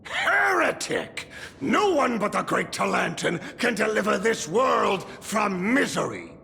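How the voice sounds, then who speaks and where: An actor playing a zealous, indignant high priest in a video game, declaiming in a strained, gravelly rant. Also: male voice, very animated, unhurried, bright, forceful tone, a little hiss or hum, full character voice. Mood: outraged, zealous. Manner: ranting, declamatory. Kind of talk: dramatic video game voice acting. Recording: long hall reverb, music behind.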